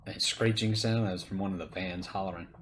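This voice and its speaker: A man's voice: low-pitched, fairly even intonation, brisk, slight background noise. A middle-aged man hosting, chatting casually and teasingly with his son while they watch wrestling footage. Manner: teasing, chatty, laughs easily.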